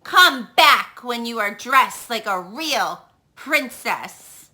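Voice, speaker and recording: A woman's voice: fairly high pitch, expressive, takes it slowly, bright, forceful tone, heavily acted. A woman voicing a snooty, fault-finding young prince with a scolding, haughty manner in a children's storybook read-aloud. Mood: disdainful.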